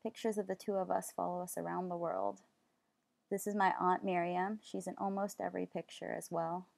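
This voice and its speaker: Young woman narrator, steady measured read, slight vocal fry, dry close sound. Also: on the low side, no echo, low-quality recording. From Los Angeles. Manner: calm, measured reading. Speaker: student filmmaker narrating. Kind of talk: scripted documentary narration.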